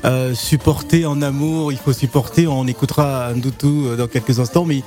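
A male interview host with a mid-pitched, mellow voice, steering a casual chat with quick, prompting questions. Light music sits faintly in the background. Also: steady tone.

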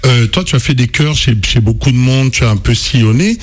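A man's voice: medium pitch, a little fast. A man hosting a radio interview, asking long, winding questions full of fillers. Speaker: radio host.

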